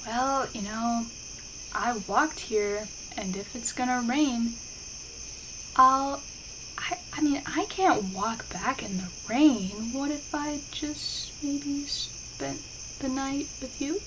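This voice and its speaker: High-voiced, shy young-love best friend, acted by a woman. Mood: shy, embarrassed. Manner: halting, bashful, stammering. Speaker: voice actor in an audio roleplay. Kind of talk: intimate ASMR roleplay acting. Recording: clear insect and outdoor ambience noise. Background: crickets and night ambience.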